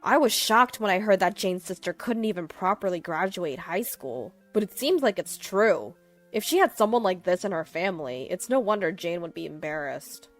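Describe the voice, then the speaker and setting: A woman's voice: fairly low pitch, animated, normal speed, faint music behind, close to an everyday voice. A woman voicing a smug, condescending young husband. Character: smug young husband who sneers along.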